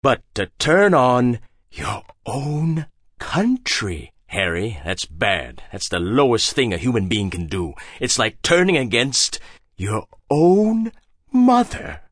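A male audiobook narrator voicing a stern, manipulative ship captain who speaks quietly and measured. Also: mid-range voice, sing-song, breathy edge, dry recording, clean studio sound, exaggerated voice. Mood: scolding. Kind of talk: audiobook character voice.

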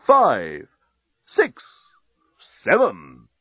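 Casting: male reader as countdown bomb, simple-minded, hesitant, high swooping delivery, slightly muffled audio. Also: wildly swooping pitch, fairly bright tone, husky, no room sound, heavily acted. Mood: muddled. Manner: halting counting, hesitant when confused. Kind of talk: comic sci-fi audiobook character voice.

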